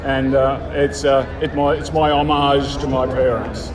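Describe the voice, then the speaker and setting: Club interviewee: rural Australian man, enthusiastic, halting delivery, slightly distant, crowd chatter behind. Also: medium pitch, level tone, reverberant. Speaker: car club member being interviewed. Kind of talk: casual interview. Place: outdoors at a motor show.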